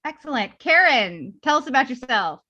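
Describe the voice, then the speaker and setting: A woman's voice: high voice, sing-song, moderate pace, bright, forceful tone. A woman from the US moderating a panel over a video call in a chatty, upbeat way. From United States. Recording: slightly dull lo-fi audio.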